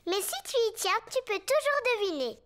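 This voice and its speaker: A woman voicing a cheerful, bossy little girl pig in a children's cartoon, with a lively, emphatic, childlike delivery. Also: very high-pitched, a little fast. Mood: bossy, eager.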